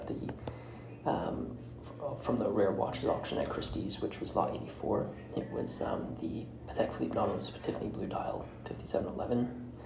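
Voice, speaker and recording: A man hosting a solo podcast, giving commentary in a halting way and often breaking off mid-phrase. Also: low-pitched. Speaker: podcast host. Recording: roomy echo with clear background noise.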